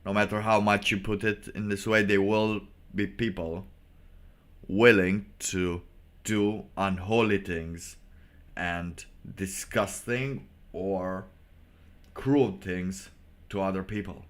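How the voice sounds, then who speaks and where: A man with a fairly low voice talks very slowly as he hosts a solo stream. His delivery is halting and sardonic, and he often repeats a phrase to drive it home. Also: steady tone. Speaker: livestream host. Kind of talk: casual solo livestream commentary.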